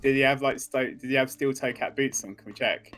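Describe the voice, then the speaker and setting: A man co-hosting a video game playthrough with casual, joking commentary in a dry, deadpan, mock-official manner. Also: medium pitch, fairly quick. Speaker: let's play co-host. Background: game music.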